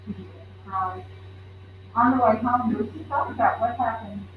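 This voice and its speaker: A woman reading a children's storybook aloud in an expressive, interactive way. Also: a little high, normal speed. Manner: expressive, asks listeners questions. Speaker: storybook reader. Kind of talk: children's read-aloud narration. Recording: roomy, distant, muffled, faint noise.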